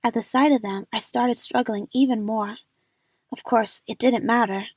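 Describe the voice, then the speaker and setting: A woman narrates a dramatic first-person story for an amateur audio drama. Her voice is fairly high with a husky, breathy edge, recorded close and dry. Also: fairly even intonation, normal speed, no room sound.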